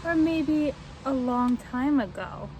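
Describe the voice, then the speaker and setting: A young woman reading a children's book aloud in a deliberate, expressive way, explaining and asking the listeners questions. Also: sits high. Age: young adult. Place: outdoors on a front step by a house door. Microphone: camera at a short distance. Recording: faint outdoor street noise.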